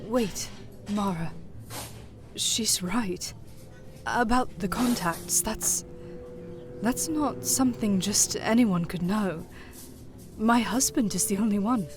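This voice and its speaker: Woman acting as a slow, kindly young businesswoman. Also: medium pitch, over music, natural voice. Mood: astonished. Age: young adult. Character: calm, kindly younger businesswoman, curious diner regular. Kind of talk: audio horror drama acting.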